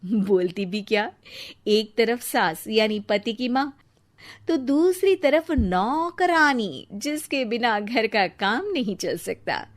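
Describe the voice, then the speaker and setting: A woman's voice: on the high side, expressive, takes it slowly. A woman working as narrator, reading a scripted story.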